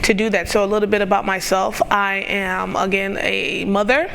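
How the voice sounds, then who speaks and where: An upbeat, chatty middle-aged woman, talking as a talk show guest. Her voice sits at an everyday middle pitch, and she laughs easily between thoughts. A faint noise runs under her speech. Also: fairly even intonation, normal speed, vocal fry, a bit bright, rough recording. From Miami, Florida. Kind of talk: casual interview chat.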